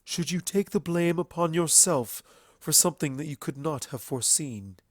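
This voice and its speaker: A man voicing a regal dark mare princess in a storybook reading, solemn and earnest, with formal, measured speech. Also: on the high side, no room sound, studio quality, pitched above the performer's own voice, natural voice. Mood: firm.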